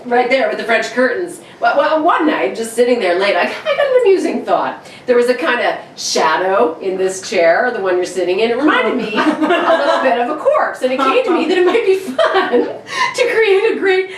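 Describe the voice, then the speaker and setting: A woman's voice: a little high, big pitch swings, moderate pace. A woman acting a vengeful, slyly genial male stage actor in an old-time radio suspense play, silky and theatrical. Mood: sly amusement. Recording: roomy echo, distant mic, faint noise.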